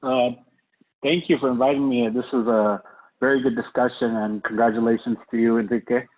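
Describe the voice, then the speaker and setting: A man's voice: mid-range voice, a little fast. A man from Washington State, introducing himself politely on a video call. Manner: polite, even-toned. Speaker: meeting participant. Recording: tinny phone-like line.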